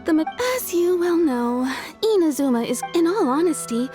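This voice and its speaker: A courteous female shopkeeper for an anime game. She speaks in a high voice with deferential, chatty customer-service politeness, over faint music. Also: expressive, moderate pace, dark tone. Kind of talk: anime-style game voice acting.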